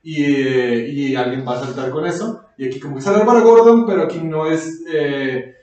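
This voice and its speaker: Slangy higher-voiced Mexican man, echoey room. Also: moderate pace, a little mellow. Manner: slangy, self-described nerd. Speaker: podcast co-host. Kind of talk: casual chat about comics. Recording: very echoey room, far from mic.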